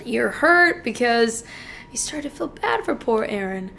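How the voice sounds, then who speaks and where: Manipulative killer for a film monologue, female actor: high-pitched, highly animated, theatrical, faint background rumble. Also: gravelly, full character voice. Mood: mocking. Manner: foul-mouthed, taunting, theatrical, halting. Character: manipulative killer client, meek at first, then gloating and mocking. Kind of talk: dramatic film monologue performance. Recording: faint noise and low rumble.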